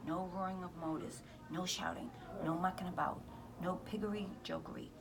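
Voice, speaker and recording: A woman's voice: on the low side, fairly even intonation, normal speed, creaky, rough recording. A woman voicing a friendly giant from a storybook, with emphatic, muddled, ungrammatical phrasing. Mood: cautioning.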